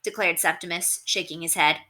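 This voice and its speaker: Very bright-voiced woman reading a story. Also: lowish voice, fairly even intonation, brisk. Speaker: read-aloud narrator. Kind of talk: expressive storybook narration.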